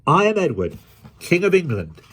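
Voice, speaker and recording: Man playing a high-pitched, indignant boy king. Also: wildly swooping pitch, moderate pace, a bit bright, full character voice. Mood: proud. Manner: haughty archaic speech. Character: indignant proud boy king, imperious and angry.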